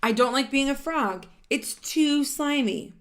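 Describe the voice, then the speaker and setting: Woman reading storybook frog, small, whiny, higher voice, pleading. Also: lively intonation, unhurried. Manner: pleading, complaining. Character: whiny little frog who wants to change.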